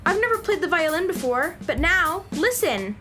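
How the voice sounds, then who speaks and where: A woman's voice: very high-pitched, very animated, normal speed, over music. A woman playing a cheeky, self-satisfied little schoolgirl in a children's radio drama, pert and matter-of-fact. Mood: smug.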